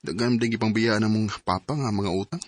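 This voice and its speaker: A man's voice: fairly low pitch, brisk, slight background noise, natural voice. A man acting a troubled, grateful husband burdened by debts in a radio drama, hesitant and subdued. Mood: downcast.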